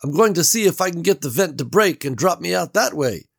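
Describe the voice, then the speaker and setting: A man's voice: a little high, animated, fairly quick, gravelly, husky, pitched above the performer's own voice, full character voice. A man voicing Gary, a nervous, eager young man trapped in an air vent, who talks earnestly and runs himself down. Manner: earnest, anxious, self-deprecating.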